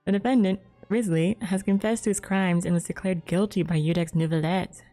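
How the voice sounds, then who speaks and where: A woman's voice: fairly low pitch, fairly even intonation, fairly quick, warm tone, faint music behind. A woman game streamer casually reading game text aloud, stumbling over names as she goes.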